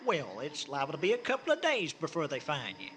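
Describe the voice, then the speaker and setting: A man's voice: on the high side, huge pitch swings, fairly quick, a bit bright, a little hiss or hum. An actor playing an easygoing small-town man who talks in a folksy rural dialect and stammers, in an old-time radio drama.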